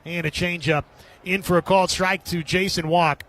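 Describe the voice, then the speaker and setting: A man calling baseball play-by-play as live radio sports commentary, with a husky edge to his voice. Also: high voice, steady tone, normal speed, a bit bright.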